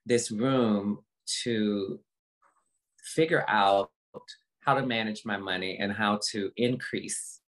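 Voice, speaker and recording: Low-voiced woman speaking emphatically. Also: takes it slowly, low-quality recording. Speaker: interview guest, motivational speaker. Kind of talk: reflective personal talk in an interview. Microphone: video call.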